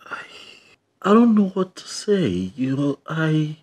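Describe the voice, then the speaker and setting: A grown son torn between lover and mother. His husky voice moves up and down a lot, halting and repeating as he tries to placate in a naturalistic drama. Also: a little high, animated, darkish tone. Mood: torn, hesitant. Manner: halting, repetitive. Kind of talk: naturalistic screen drama dialogue.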